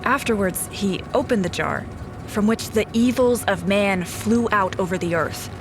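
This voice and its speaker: A woman's voice: mid-range voice, unhurried, music in the background, lightly acted, rough recording. An actress playing a determined, hot-blooded female police investigator in an audio drama, intense and defiant. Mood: grave.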